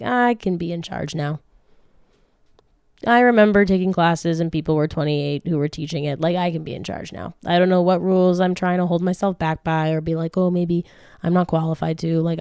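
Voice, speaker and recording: A woman in her late twenties hosts a casual question-and-answer podcast, talking in a frank, rambling way that is full of laughter and restarts. Her voice sits a little low and sounds mellow and smooth. It is recorded close up in a dry, dead room. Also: no echo. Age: late 20s. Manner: chatty, frank, swears freely, laughs often, many restarts. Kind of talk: casual chat.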